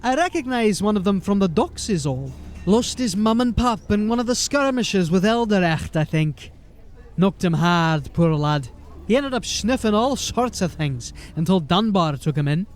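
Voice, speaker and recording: A man's voice: high voice, expressive, moderate pace. A man playing a folksy, rambling, deferential corporal of a fantasy city watch in an audio drama. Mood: sombre, regretful. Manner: folksy, rambling, eager to please.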